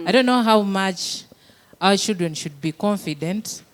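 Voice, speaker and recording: Woman, medium pitch, audience member with a personal question; casual, hesitant, searching in short phrases. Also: lively intonation, unhurried.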